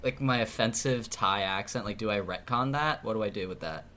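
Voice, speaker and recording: A man hosting a casual podcast, asking quizzical questions in an animated way. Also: fairly low pitch, brisk, a little hiss or hum. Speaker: podcast host.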